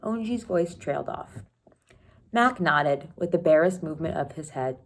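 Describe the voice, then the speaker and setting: A woman teacher reading a children's novel aloud expressively, pausing to think aloud and comment for her students. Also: sits low, expressive, slight background noise. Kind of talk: read-aloud storytelling with asides to students.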